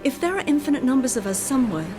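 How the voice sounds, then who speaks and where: An actress playing a fed-up, headstrong scientist's wife in a radio drama, sardonic and insistent in manner. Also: female voice, high-pitched, very animated, rapid-fire, over music. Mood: determined.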